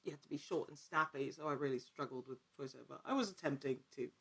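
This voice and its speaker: A woman's voice: a bass voice, fast, a bit bright, husky. A woman speaking as a guest in a casual interview, in a wordy, rambling way that often interrupts itself. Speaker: podcast guest, actor and producer.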